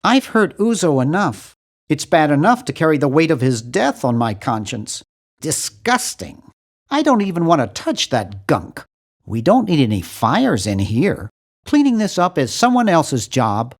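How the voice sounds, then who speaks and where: A man's voice: a little high, big pitch swings, a little slow, full character voice. A man voicing a nerdy, timid, fussy young hero of a cartoon adventure game, with a prim, wry, bookish delivery. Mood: squeamish, dry. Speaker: game character voice actor.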